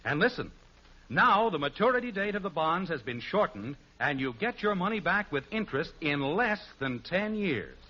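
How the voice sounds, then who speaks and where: A man's voice: high-pitched, big pitch swings, normal speed, highs cut off. A male American announcer reading scripted narration in the style of 1950s radio. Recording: band-limited old radio recording. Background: music.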